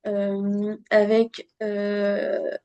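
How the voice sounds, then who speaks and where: A woman with a mellow, relaxed voice gives an instructional online presentation. Her intonation stays quite flat and even. She speaks straight to her listeners in a teaching tone, often pausing on fillers as she searches for the next phrase. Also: medium pitch, dull audio. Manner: hesitant, halting delivery with frequent filled pauses; didactic, addresses listeners directly. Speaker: webinar presenter on local government law. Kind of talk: explanatory webinar presentation from slides.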